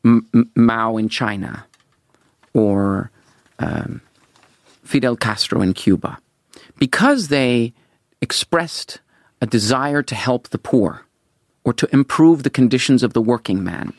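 Interview guest: middle-aged man, gravelly, dry close sound, earnest, stumbles on restarts. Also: mid-range voice, lively intonation, takes it slowly, long pauses, no room sound. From Venezuela. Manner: earnest, repeats words when starting sentences. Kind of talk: political interview.

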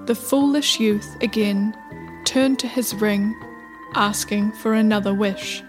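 A woman narrating a scripted folktale as a measured storyteller. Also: medium pitch. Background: music.

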